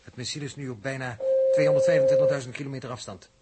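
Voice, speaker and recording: An actor playing a precise, matter-of-fact spaceship navigator with an urgent edge in a 1960s Dutch radio drama. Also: male voice, medium pitch, wildly swooping pitch, rapid-fire. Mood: urgent, factual. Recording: noisy old radio drama recording.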